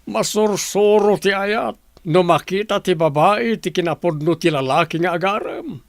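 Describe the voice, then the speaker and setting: An actor with an Ilocano accent playing a lovesick, self-pitying boss in a radio drama. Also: male voice, sits high, normal speed, vocal fry, no room sound, studio quality. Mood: wistful.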